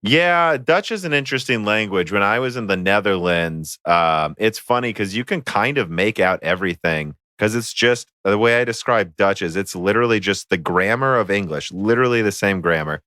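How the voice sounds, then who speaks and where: A man's voice: on the low side, animated, normal speed, hardly any pauses, sharp tone, no echo, studio quality. An American man hosting a casual tech podcast, chatty and rambling with frequent fillers. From United States. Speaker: podcast host.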